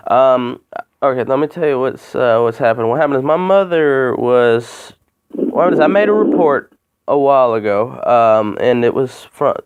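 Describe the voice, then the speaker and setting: A man making a complaint by phone, talking in a halting, rambling way and repeating himself. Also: medium pitch, clear, resonant tone. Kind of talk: phone call, informal account. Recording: muffled phone call recording.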